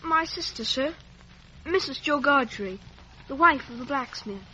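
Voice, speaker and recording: A woman's voice: sits high, lively intonation, moderate pace, sharp tone. A woman voicing a timid, polite, frightened young boy in a radio drama. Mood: frightened, pleading. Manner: meek, anxious, deferential. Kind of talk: 1950s radio drama acting. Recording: muffled, noisy vintage radio recording.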